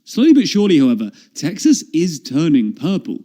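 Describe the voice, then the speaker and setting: Lively dark-toned male narrator. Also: on the high side, a little fast. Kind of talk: scripted narration.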